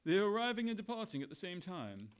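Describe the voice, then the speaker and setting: A man's voice: high-pitched, very animated, quick pace, clear, resonant tone. A man playing an earnest scientist doctor in a science-fiction radio play. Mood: resigned. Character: earnest male scientist doctor trapped in a time loop.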